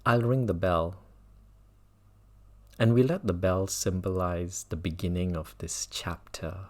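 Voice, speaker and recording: A man guiding a mindfulness meditation as a teacher, speaking in measured phrases with long pauses and repetition. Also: low voice, fairly even intonation, darkish tone.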